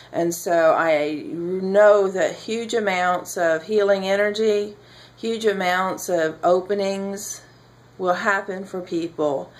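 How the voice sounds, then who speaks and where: A woman with a slow, creaky delivery speaks informally as a spiritual teacher addressing followers. Her pitch sits in the middle for a woman, and her phrasing halts with frequent pauses. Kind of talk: informal spoken announcement to camera or followers.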